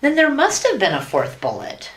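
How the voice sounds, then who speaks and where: Actress as naive sitcom wife, very high voice, lilting swoops, fretful, innocently questioning. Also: female voice, wildly swooping pitch, moderate pace. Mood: innocently curious. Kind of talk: classic sitcom radio play acting.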